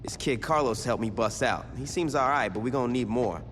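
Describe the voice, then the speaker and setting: An actor playing a tough gang boss in a video game cutscene, speaking tersely with dry wit and street slang. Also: male voice, mid-range voice, fairly quick, fairly bright tone, slight background noise, lightly acted. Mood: plotting. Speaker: game protagonist.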